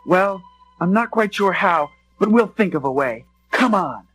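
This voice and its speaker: Earnest young small-town hero: high, animated, eager delivery for an audio storybook, music behind. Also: male voice, a little slow. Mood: wary. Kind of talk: read-along storybook drama acting.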